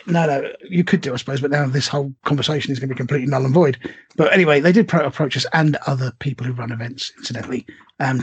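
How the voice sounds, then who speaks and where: Very raspy, quick-talking man. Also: medium pitch, dull audio. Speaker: podcast co-host. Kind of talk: casual conversational chat.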